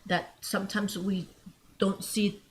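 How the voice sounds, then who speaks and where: A woman's voice: mid-range voice, flat delivery, slow pace, slight background noise. A middle-aged woman from Mexico who grew up in Alaska, talking in an emphatic, motivational way as a talk-show guest, repeating phrases and asking rhetorical questions. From born in Mexico, grew up in Alaska. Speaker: guest, business owner. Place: at her home, on a podcast set.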